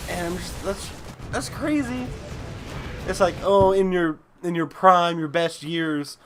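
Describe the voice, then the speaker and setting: A young man co-hosting a let's play, chatting casually and haltingly reading game text. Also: high voice, fairly bright tone, a little hiss or hum. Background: background music.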